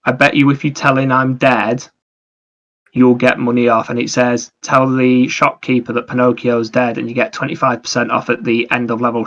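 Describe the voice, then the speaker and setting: Man chatting, fairly even pitch. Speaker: podcast host. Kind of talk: casual chat about video games.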